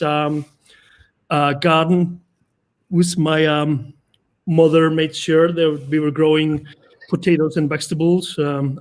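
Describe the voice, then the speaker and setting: A man in his forties from Iceland, a chef speaking as a guest on an online talk, hesitant with many fillers and restarts. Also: a little high.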